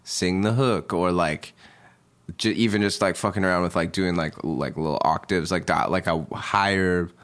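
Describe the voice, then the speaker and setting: A man's voice: low-pitched, moderate pace, fairly bright tone. A young man in casual chat as a guest, rambling with lots of fillers and restarts, laid-back and swearing freely. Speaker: rapper guest.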